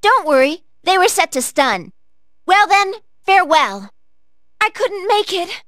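A woman's voice: very high-pitched, big pitch swings, a little slow, fairly bright tone, no echo, clean studio sound, full character voice. A woman playing an earnest, polite young female android fighter in a video game, calling out clipped, formal battle commands. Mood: composed. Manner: clipped, formal machine-like status callouts.

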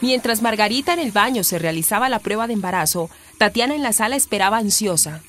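A Colombian woman narrates a scripted radio serial. Her voice sits at a medium pitch, with music playing clearly behind it. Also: animated, fast.

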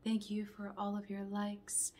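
A woman in her thirties speaking as an ASMR reiki healer, in a soothing, breathy soft voice that often drops toward a whisper, repeating gentle affirmations. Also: mid-range voice, monotone, unhurried. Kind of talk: slow ASMR guided relaxation, partly whispered and chanted. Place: small home room, indoors.

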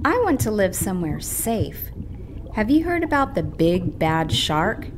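A woman voicing a careful, earnest little fish with a deliberate delivery in a children's puppet show. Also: mid-range voice, sing-song, background noise. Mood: worried, earnest.

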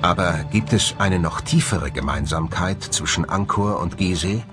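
A man's voice: low-pitched, moderate pace. A man reading scripted documentary narration. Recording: music bed under the voice. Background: music.

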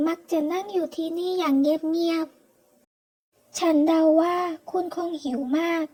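A woman's voice: very high voice, fairly even intonation, slow, darkish tone. A woman voicing a kind, chatty young girl, an earnest childlike younger sister, in a comic read-aloud.

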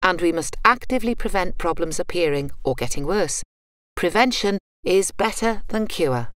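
A woman giving calm, measured scripted narration for a public information video. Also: lowish voice, no room sound, studio quality.

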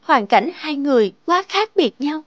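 A woman voicing a gentle, insecure young working-class girlfriend in a cartoon drama, earnest and hesitant. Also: high voice, sing-song, normal speed, no room sound, highs cut off. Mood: wistful. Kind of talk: animated drama dubbing. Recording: music under the voice.

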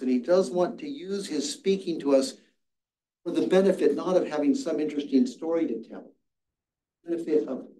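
A man's voice: medium pitch, mellow. An older man teaching a Sabbath school class, telling a story earnestly and often restarting his words, heard from across the classroom. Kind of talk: teaching a Bible study class. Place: church classroom with seated audience. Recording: echoey room from far off.

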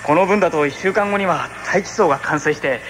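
A man's voice: fairly high pitch, brisk, natural voice. An actor playing a determined, anxious husband and father settling a new planet, in a dramatic radio drama. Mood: hopeful, reflective. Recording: 1980s radio recording with background noise.

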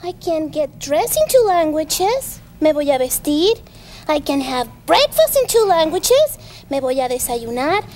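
A woman's voice: high voice, big pitch swings, measured pace, background noise. A woman voicing a cheerful young girl puppet with a Spanish accent in a children's TV show. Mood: proud.

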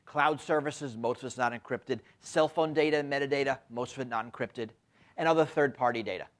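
A man in his fifties giving a university lecture, speaking emphatically and often tagging his points with a quick question, recorded on a lapel mic in a lecture room. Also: medium pitch. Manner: frequent tag questions, lively emphatic delivery. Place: lecture room with window blinds.

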